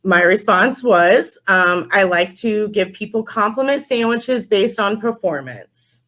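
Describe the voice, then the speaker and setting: A woman's voice: mid-range voice, animated, unhurried, fairly bright tone. Woman telling stories in casual interview. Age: young adult. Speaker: podcast guest, store general manager.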